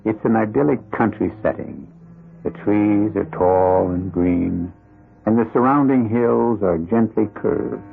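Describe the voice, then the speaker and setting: A man hosting and narrating a scripted radio drama in a deliberate, ominous, theatrical manner. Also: fairly low pitch, vocal fry. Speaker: host and narrator. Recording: tinny old radio broadcast. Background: music.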